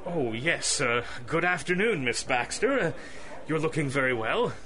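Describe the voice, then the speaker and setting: An actor playing a flustered, blustering police chief who is formally polite and stammers, in a 1930s-style radio drama. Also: male voice, on the high side, sing-song, moderate pace, sharp tone. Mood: flustered, courteous.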